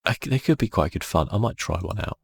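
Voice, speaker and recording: A man speaking softly and casually, thinking aloud as he gives ASMR commentary on a video game. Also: low voice, lively intonation, quick pace, creaky, breathy edge, dry recording, studio quality. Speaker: gaming channel host.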